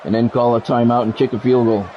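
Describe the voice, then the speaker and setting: A man streaming a football video game, giving excitable play-by-play and bluntly talking at the players in short, urgent, repeated phrases. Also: mid-range voice, fast, muffled recording, slight background noise. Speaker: gaming streamer doing play-by-play.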